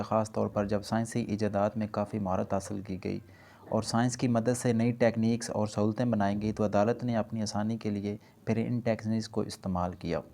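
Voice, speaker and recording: A man lectures informally in a lowish, mellow voice with a noticeable creak. He lays out each point step by step as a law teacher would, dropping English legal terms into his explanation.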